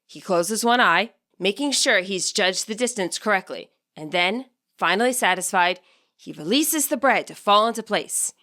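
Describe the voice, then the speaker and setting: A woman reading a scripted story as its narrator, with a wry, expressive delivery. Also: medium pitch, moderate pace. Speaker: author narrating own fan fiction audiobook.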